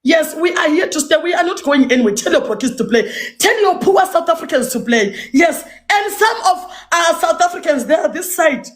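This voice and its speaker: Zimbabwean lady ranting for social media, high voice, defiant and taunting, says stuff over and over. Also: expressive, normal speed, few pauses. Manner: defiant, taunting, repeating phrases emphatically. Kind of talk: impassioned social media rant.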